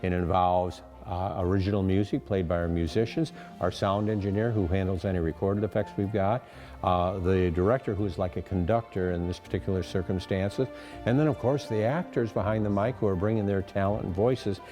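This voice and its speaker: Older man, low mellow voice. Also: steady tone, a little fast, soft background music. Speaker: radio theater director. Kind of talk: interview answers.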